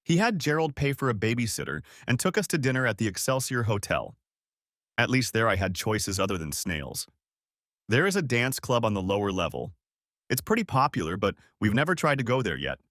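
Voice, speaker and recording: A man narrating a first-person story for an audiobook, raising his pitch for quoted women. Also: sing-song, fairly quick, fairly bright tone, no echo, studio quality.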